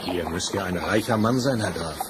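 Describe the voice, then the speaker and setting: A man's voice: low-pitched, normal speed, natural voice. An actor playing a grand, genial king in a fairy-tale audio drama, declaiming with admiration. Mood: admiring. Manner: stately, declamatory. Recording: noisy old vinyl transfer.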